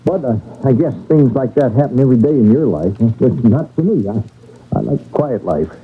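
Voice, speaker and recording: An actor playing a nervous, evasive railway baggage master, hesitant and stammering, in old-time radio drama style. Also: male voice, mid-range voice, expressive, normal speed, husky. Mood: uneasy politeness. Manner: hesitant, stammering, uneasy, eager to please. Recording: thin, phone-like old radio broadcast.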